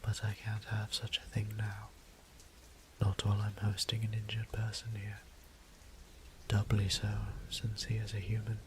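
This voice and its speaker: A man playing a weary, courteous immortal vampire priest in an audio drama, speaking formal, old-fashioned English in a breathy, gravelly near-whisper. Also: on the low side, monotone, moderate pace, background noise, lightly acted. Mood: troubled, resolute. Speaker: voice actor. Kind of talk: scripted audio drama monologue.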